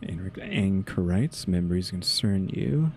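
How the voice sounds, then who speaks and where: A man's voice: low voice, expressive, a little slow. A man streaming a game, talking casually as he plays and reading dialogue choices aloud over game music. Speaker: game streamer playing a story quest.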